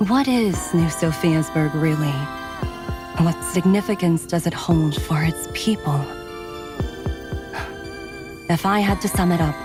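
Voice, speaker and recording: A woman voicing a loyal, battle-hardened female android warrior in a video game, speaking in a measured, sincere, soldierly way. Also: on the low side. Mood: reflective. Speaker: video game character voice actor. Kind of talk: scripted game character voicelines. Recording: studio voice under loud pop music. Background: loud pop music.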